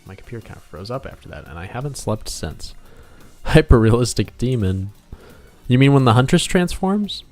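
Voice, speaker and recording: Low-voiced man chatting casually on a livestream. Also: vocal fry, darkish tone. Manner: rambling, playful, talking to himself. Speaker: game developer and livestream host. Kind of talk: casual livestream chat while drawing, reading out viewer comments.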